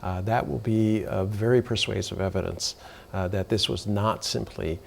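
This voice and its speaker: A man with a heavily gravelly, low voice gives frank commentary on a news panel. He talks steadily, scattering fillers between his phrases. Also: fairly even intonation, moderate pace, a little hiss or hum.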